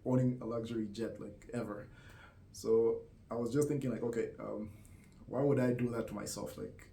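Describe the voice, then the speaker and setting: A man from Zambia talking casually to camera, thoughtful and hesitant, with lots of "um" and "like" and small laughs. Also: mid-range voice. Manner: hesitant, many fillers and soft laughs. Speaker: vlogger. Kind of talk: casual reflective monologue. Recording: roomy echo.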